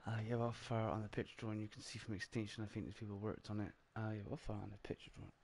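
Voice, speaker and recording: A man's voice: on the low side, level tone, racing, darkish tone, a little hiss or hum. Chatty British man hosting a stream. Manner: reads out chat donations, chatty and upbeat. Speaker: livestream host. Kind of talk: casual gaming chat.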